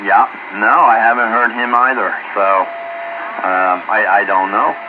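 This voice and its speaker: Low-voiced folksy man on noisy radio. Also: measured pace, narrow, tinny audio. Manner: folksy, rambling, repeats himself. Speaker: radio operator chatting on a group call. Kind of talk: casual radio chat. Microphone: radio transmission. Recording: noisy, band-limited two-way radio.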